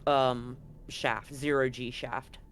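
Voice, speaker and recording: Conversational game master, medium pitch, explaining the setting to players over faint background noise. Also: level tone, slow, fairly bright tone. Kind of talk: explaining a tabletop roleplaying game.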